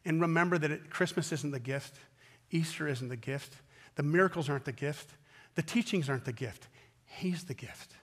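An American man in his fifties preaching a sermon as a pastor, earnest and emphatic, with deliberate pauses and repetition. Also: fairly high pitch, fairly bright tone, in a large hall. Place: large indoor church auditorium stage at a wooden pulpit.

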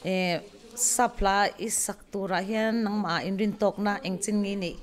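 A woman's voice: medium pitch, fairly even intonation, moderate pace. A middle-aged woman, chatting casually as she interviews contestants on a handheld microphone. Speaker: show host. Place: indoor lounge.

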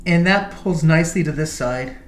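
A man's voice: fairly high pitch, moderate pace. A middle-aged man working as a chiropractor, giving a patient short directions and explanations during hands-on treatment. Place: small indoor treatment room. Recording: echoey room with clear noise and clicks.

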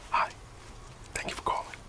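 A man's voice: normal speed, distant mic. Whispering American man, casual and grumbling. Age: middle-aged. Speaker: ASMR roleplayer playing a gossiping call center employee. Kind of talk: whispered ASMR roleplay.

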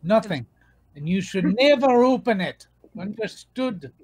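A man's voice: high voice, animated, unhurried, darkish tone, no echo, poor audio. A man voicing a stingy, grumpy old duck uncle in a cartoon comic dub. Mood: stern.

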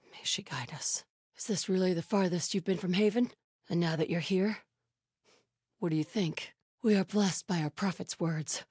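An actress playing a devout, stern, vigilant cult scout in a video game, speaking in a hushed, breathy, solemn way. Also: female voice, low voice, dry recording, clean studio sound, heavily acted. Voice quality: breathy, hushed, often whispery. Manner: solemn, guarded.

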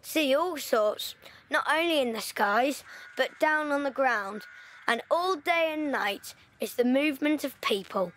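A sharp, watchful little boy in a wartime audio play. He, and tells things in a knowing, secretive way. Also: high-pitched, animated, measured pace, rough recording. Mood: eager, knowing. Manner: knowing, storytelling. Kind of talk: audio drama dialogue.

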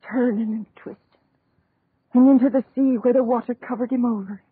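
An aged, sorrowful mother telling a grim tale in a 1930s radio play. Her voice is very husky and breathy, halting and slow. It comes through a thin, narrow old recording. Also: medium pitch, dry recording. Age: older. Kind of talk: 1930s radio acting, dramatic narration.

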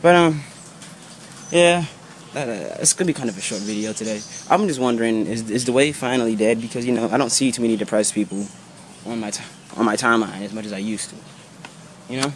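A man's voice: medium pitch, a little fast. Sick guy in his 20s rambling to a handheld cam outside, tons of "you know" and "bro", swears a lot, faint outdoor noise behind. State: sick, voice a bit off. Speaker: vlogger. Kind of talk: casual rant to camera. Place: outdoors under trees by a brick building. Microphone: handheld camera held low at arm's length.

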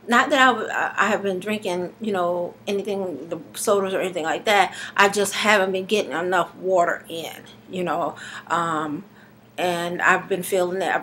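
A woman in her thirties, slightly hoarse and congested, talking haltingly in a casual video diary with many fillers and restarts, recorded on a webcam in her living room. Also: lowish voice, a bit bright, a little hiss or hum. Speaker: vlogger. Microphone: webcam at arm's length.

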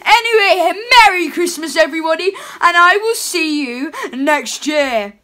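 A young woman playing a jolly, chatty Father Christmas reading a storybook aloud at home, her voice pushed lower in a playful put-on. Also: expressive, a little slow, sharp tone, exaggerated voice. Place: home room with curtains.

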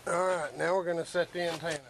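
A man's voice: a little high, little pitch movement, fast talker, vocal fry. A young man giving a casual, plain-spoken hands-on tutorial in a matter-of-fact way, recorded on a camera's own mic. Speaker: host of a DIY preparedness channel. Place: outdoors in a yard and in a wooden workshop. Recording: noisy handheld camera audio.

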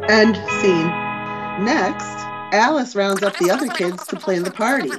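A woman's voice: mid-range voice, a little slow, loud music behind the voice, low-quality recording. A woman narrator reading out scene directions and cast lists in a scripted announcing style.

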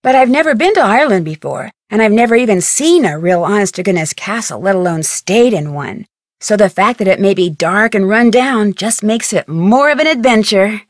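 An actress playing an upbeat, adventurous young detective, chattily narrating a video game in clear phrases. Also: female voice, mid-range voice, expressive, normal speed, no room sound, clean studio sound, lightly acted. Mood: eager, upbeat. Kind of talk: scripted first-person game narration.

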